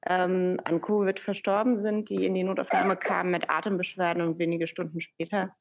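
Low, gravelly-voiced woman on a video call. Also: level tone, brisk, muffled recording. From Iran. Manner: thoughtful, many hesitations. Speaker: guest. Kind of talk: casual interview conversation.

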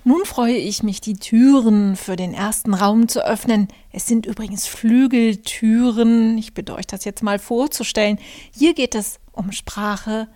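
A woman's voice: on the high side, unhurried, warm tone. A German woman hosting her own podcast, talking through her thoughts in a reflective monologue with many fillers and restarts. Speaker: podcast host, journalist and author.

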